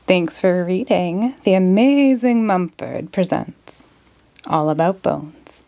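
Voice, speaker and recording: A woman reads a children's story aloud. Her voice is mellow and middle-pitched, moving at a slow pace with very lively, expressive intonation. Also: vocal fry. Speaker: storybook reader.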